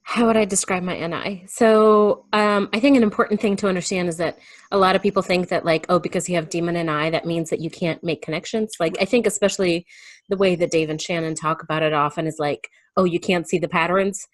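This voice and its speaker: Lower-pitched woman explaining her thoughts casually. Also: fairly even intonation, brisk. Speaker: guest on a remote call.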